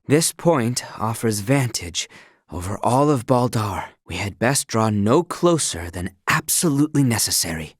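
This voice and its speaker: A man's voice: mid-range voice, breathy edge, dry recording, studio quality. A man voicing an earnest, dutiful young royal knight in a video game, with courtly, precise, old-fashioned diction. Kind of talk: video game character voice lines.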